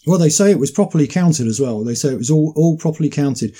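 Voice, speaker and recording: A man's voice: fairly high pitch, lively intonation, fast talker, warm tone, husky. A man in casual discussion, commenting and repeating phrases for emphasis as he hosts a talk. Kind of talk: casual discussion with read-out quotations.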